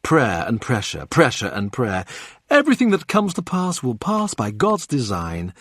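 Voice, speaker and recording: A man narrating a historical novel in a measured, deliberate way. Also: mid-range voice, wildly swooping pitch, fairly bright tone, no echo, studio quality. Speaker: audiobook narrator. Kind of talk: scripted literary narration.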